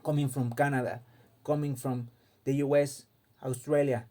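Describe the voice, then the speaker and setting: A man's voice: medium pitch, level tone, normal speed, dark tone. A man from Mexico, giving an impassioned casual opinion monologue and often repeating words as he searches for them. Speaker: fighting game commentator.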